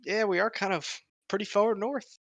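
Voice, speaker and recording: A man's voice: high-pitched, fairly even intonation, moderate pace, fairly bright tone, no room sound, lo-fi sound, voice pushed up. A man playing a breezy, nonchalant pirate captain with an offhand, rambling manner in a tabletop game. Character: breezy, casual pirate captain who shrugs off danger.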